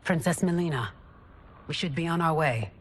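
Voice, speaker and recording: Deep, husky female royal guard. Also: moderate pace. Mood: dutiful. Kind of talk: cinematic fantasy game acting.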